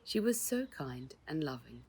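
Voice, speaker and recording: A woman reader acts out an elegant storybook lady, gracious and chatty. She speaks with genteel, gushing warmth in a husky, slightly creaky voice. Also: sits low, big pitch swings, a little slow, heavily acted. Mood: fond, wistful.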